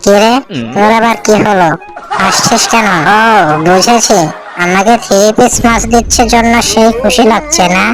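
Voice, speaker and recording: A woman's voice: medium pitch, steady tone, moderate pace, over music, natural voice, poor audio. A woman voicing a jealous, quarrelsome fox wife in a cartoon, sarcastic and accusing. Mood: sarcastic, offended. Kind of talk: Bengali cartoon voice acting.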